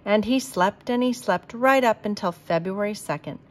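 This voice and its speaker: Woman doing a kids storybook read aloud, expressive, careful narration. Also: medium pitch. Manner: deliberate, expressive. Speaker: storybook reader.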